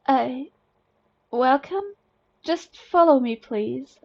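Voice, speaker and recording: A woman's voice: sits high, expressive, very slow, gravelly, muffled recording, full character voice. A woman voicing a mild, congenial male orderly in a story, polite and a little hesitant.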